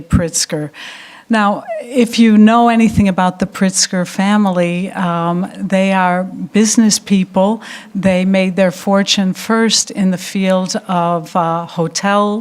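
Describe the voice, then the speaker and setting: American woman giving a measured lecture. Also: medium pitch. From United States. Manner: measured, with frequent ums and uhs. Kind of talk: public lecture.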